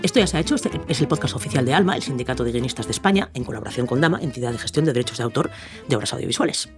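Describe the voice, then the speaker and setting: A man's voice: mid-range voice, animated, rapid-fire, loud music behind the voice. A man with an accent from Spain co-hosting a podcast in an informal, chatty presenting style. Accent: Spanish from Spain. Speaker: podcast co-host.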